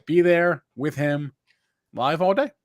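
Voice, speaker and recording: Quick offhand show host. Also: male voice, a little high, dry recording, studio quality. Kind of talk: casual show hosting.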